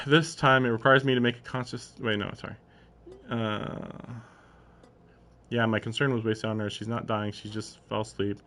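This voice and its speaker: A man's voice: fairly low pitch, sharp tone. A man live-streaming, reading a story aloud and adding informal, questioning commentary of his own. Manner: informal, questioning asides between read passages. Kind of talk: reading narration aloud with casual, puzzled running commentary.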